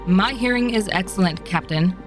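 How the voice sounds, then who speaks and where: A woman's voice: medium pitch, normal speed, music in the background, natural voice. A woman voicing a formal, dry, aging female ship's artificial intelligence in a science fiction audio drama. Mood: prim, curious.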